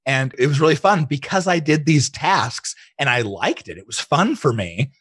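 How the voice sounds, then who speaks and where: Guy with a husky voice chatting in an interview, telling his story, close dry sound. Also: fairly high pitch, sing-song, normal speed, a bit bright, dry recording. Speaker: interview guest, digital marketing entrepreneur. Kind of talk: casual personal storytelling in an interview.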